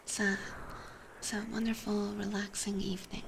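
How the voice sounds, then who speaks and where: A woman streamer whispering softly and gently in a casual ASMR chat with viewers, close on a binaural microphone. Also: medium pitch, flat delivery, moderate pace, creaky, background noise. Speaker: streamer hosting a live ASMR roleplay stream.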